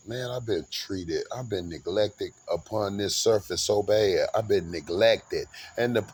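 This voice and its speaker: Forties male, lower pitch, grandiose rambling monologue with many restarts. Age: 40s. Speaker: vlogger.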